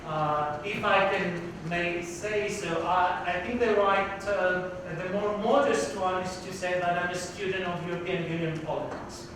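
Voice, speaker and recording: Male lecturer, high pitch, hesitant and loud, far-off mic, noisy reverberant hall. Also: fairly even intonation, unhurried, few pauses. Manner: hesitant, many uhs and restarts; projects loudly for the room. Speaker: visiting university professor. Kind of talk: lecture to students. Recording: very echoey hall, distant, noisy.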